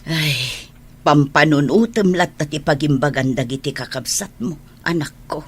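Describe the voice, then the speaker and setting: A woman acting in a Filipino radio drama as a loving, worried older mother with a husky voice and Ilocano accent. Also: low-pitched, normal speed. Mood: worried, resigned.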